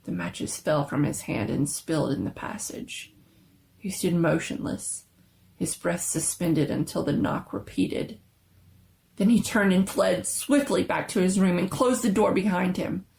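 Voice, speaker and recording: A woman giving a dramatic, suspenseful reading of a scary story, with theatrical and deliberate phrasing. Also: on the low side, vocal fry, darkish tone. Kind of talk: dramatic scripted story narration.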